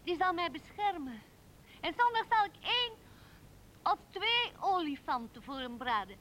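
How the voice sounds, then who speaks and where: Een vrouw speelt een dromerig, opschepperig klein meisje dat kinderlijk en zangerig vertelt in een hoorspel. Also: female voice, big pitch swings, normal speed, bright, forceful tone, exaggerated voice. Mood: proud, eager. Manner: childlike, singsong, giggly. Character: dreamy, boastful little girl in love with a giant. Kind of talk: radio drama storytelling. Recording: noisy old radio recording with rumble.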